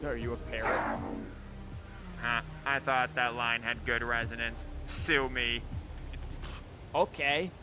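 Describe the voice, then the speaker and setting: A man gives casual live gameplay commentary in a middle-pitched voice. He is wry and joking, muttering reactions as he plays, with game music clearly behind him. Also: fairly even intonation, takes it slowly, long pauses. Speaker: game streamer.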